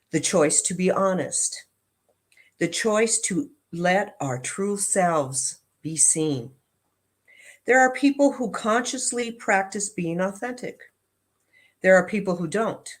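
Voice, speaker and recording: A woman reading affirmations and inspirational text aloud in a measured, deliberate way, phrase by phrase. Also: lowish voice. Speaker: prayer practitioner and reader.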